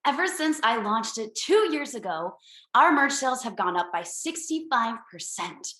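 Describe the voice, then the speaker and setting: A woman acts out a self-absorbed female executive in a comedy screenplay read. Her voice is high and bright, with breezy, bossy delivery. It is recorded in a roomy home over a video call. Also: sing-song, normal speed. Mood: breezy, boastful. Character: brash, self-absorbed female streaming company boss.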